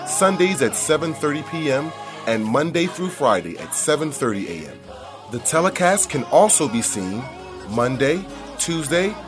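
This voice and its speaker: Male announcer, scripted read, measured delivery, animated pitch, music bed. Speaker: broadcast announcer.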